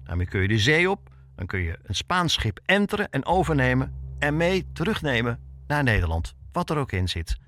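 A man's voice: medium pitch, very animated, moderate pace, fairly bright tone. A man hosting as a reporter, reading scripted documentary narration for a podcast.

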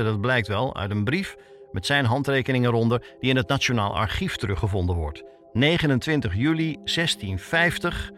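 A man narrating a scripted documentary podcast as its reporter, telling the story in short, measured phrases. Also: mid-range voice, fairly bright tone.

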